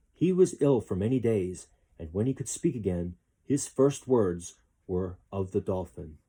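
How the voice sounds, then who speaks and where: A man reading a children's story aloud in an expressive narrating style. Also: fairly low pitch, slightly rough. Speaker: storybook reader.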